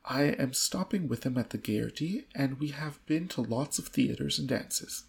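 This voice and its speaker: Man reading as a peevish young society lady, creaky voice. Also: mid-range voice, lively intonation, unhurried, full character voice. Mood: huffy, peevish. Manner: huffy, showing off. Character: peevish, sneery young woman of Victorian society.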